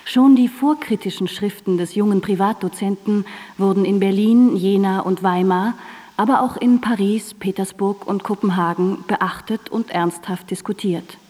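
A woman's voice: mid-range voice, moderate pace, dark tone, in a large hall. A woman reads scripted narration for a radio drama in a composed, formal and precise manner.

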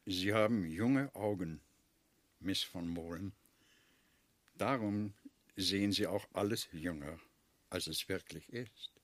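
An actor playing a courteous, wistful old general of 68 in a German radio play, speaking in a measured, gentlemanly way. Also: male voice, sits low, dry recording. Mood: wistful, gallant.

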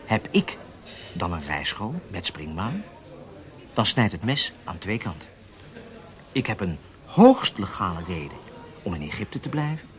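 Self-important male spy with animated pitch. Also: measured pace, long pauses. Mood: assured, persuasive. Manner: emphatic, self-important, lecturing. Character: self-assured, impatient male undercover spy reporting to his handlers. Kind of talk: Dutch radio drama acting.

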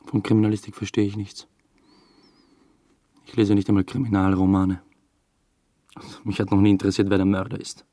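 An actor playing a young theatre assistant under suspicion of murder in a German radio drama, dry, laconic and evasive. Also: male voice, lowish voice, flat delivery, brisk, long pauses, dark tone, dry recording, lightly acted. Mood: dry, reflective. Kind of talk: German radio drama acting and first-person narration.

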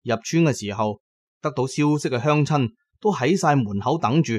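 Cantonese male radio-drama narrator, mid-pitched. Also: lively intonation, moderate pace, slightly rough.

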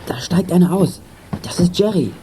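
Mellow, level-headed boy detective. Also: sits high, expressive, moderate pace, soft background music. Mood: focused, decisive. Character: level-headed boy detective leading his young gang. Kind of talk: German children's radio play acting.

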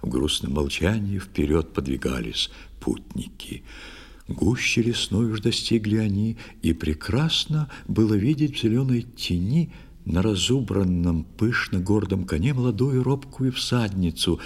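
A man's voice: low voice, creaky, husky. A man narrating a poetic tale for children as theatrical, scripted verse recitation.

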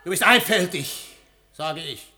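Intense, sharp-voiced obsessed scholar. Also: male voice, high-pitched, animated, unhurried, full character voice. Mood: furious, scornful. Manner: intense, commanding, emphatic. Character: obsessed, domineering older scholar husband, quick to rage. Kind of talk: German radio play acting.

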